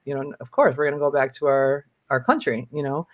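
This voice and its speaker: A woman around fifty, raised in Michigan, talks as an interview guest in a casual, halting storytelling way. Her speech is peppered with small filler phrases, and the sound is close and dry, without room echo. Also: rumbling low, dull audio. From born in Lebanon, raised in Michigan. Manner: hesitant, peppered with "you know" and "like".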